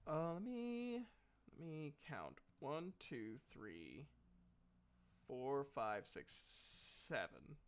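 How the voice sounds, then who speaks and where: A man's voice: on the high side, sing-song, very slow, smooth. A man narrating a tabletop role-playing game as game master, casual and hesitant, swearing now and then.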